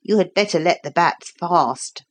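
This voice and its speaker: A woman doing a pompous old ark keeper. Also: fairly low pitch. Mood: lecturing. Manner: stately, formal, slow and lecturing. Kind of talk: audiobook character voice.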